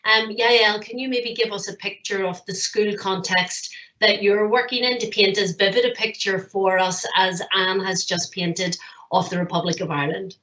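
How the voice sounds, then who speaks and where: A woman chairs a panel and hands over to each speaker with easy, flowing questions and small restarts. Her voice is mid-pitched. The sound is roomy and dull. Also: steady tone, moderate pace, a bit bright. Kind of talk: moderating a panel.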